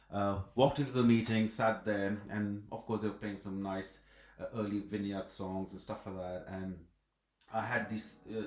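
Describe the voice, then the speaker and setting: Male podcast guest from Pakistan, conversational storyteller, low voice, roomy home acoustic. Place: front room of a house, indoors, in person. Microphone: improvised iPhone setup. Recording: echoey front room.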